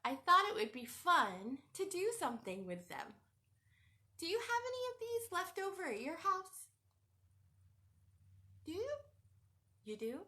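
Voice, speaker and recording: A woman hosting a children's craft lesson at home, talking straight to young viewers in an animated, sing-song way. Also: extremely high pitch. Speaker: children's show host. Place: home room with a cat nearby.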